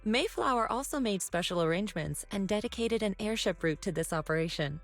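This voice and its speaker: A woman playing a composed, protective martial arts mentor in a video game, speaking in a measured, reassuring way. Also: mid-range voice, expressive, lightly acted. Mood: calm, reassuring. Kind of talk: video game story dialogue. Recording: game music under the voice.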